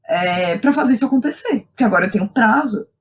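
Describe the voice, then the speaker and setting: A young Brazilian woman speaking as a podcast guest, telling personal stories in an animated, colloquial way and often checking in with the listener. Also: on the high side, fast. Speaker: guest, playwright and theatre director. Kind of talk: casual personal storytelling in a podcast interview.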